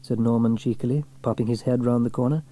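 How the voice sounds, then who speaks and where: Male children's audiobook narrator: expressive, husky, very mellow delivery with lively pitch movement. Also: quick pace. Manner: expressive storytelling. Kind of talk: scripted children's story narration.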